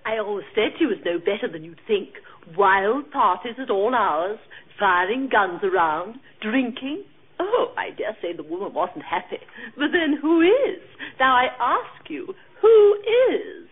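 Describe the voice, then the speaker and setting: A woman's voice: fairly high pitch, sing-song, measured pace, slightly rough, narrow, tinny audio, full character voice. A woman playing a gossipy, self-righteous, melodramatic neighbour in an old-time radio crime drama. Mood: sanctimonious, wailing. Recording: thin band-limited old radio recording.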